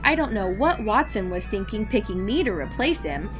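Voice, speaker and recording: A woman's voice: medium pitch, big pitch swings, normal speed, over music, lightly acted. A woman playing a breezy, sarcastic leader who feigns ditzy remorse in a comic audio drama. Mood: flippant, sarcastic. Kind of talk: audio drama comedy acting.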